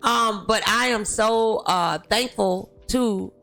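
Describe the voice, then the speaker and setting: A woman's voice: on the high side, takes it slowly, gravelly, fairly bright tone, soft background music. A middle-aged woman hosting a casual interview in a chatty, familiar manner. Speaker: talk show host.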